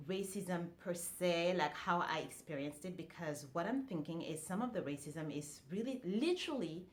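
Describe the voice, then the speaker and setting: A woman, chatting casually to camera as a vlogger with lots of fillers and restarts. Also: lowish voice. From France. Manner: relaxed, conversational, many fillers and restarts.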